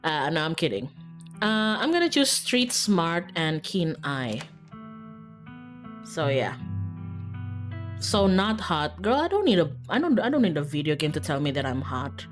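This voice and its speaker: A woman's voice: low voice, very animated, a little slow, long pauses. Playful woman streamer, game music behind. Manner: playful, self-teasing, easily breaks off into asides. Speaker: streamer playing a visual novel. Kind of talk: casual livestream chat mixed with reading game text aloud. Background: faint game music.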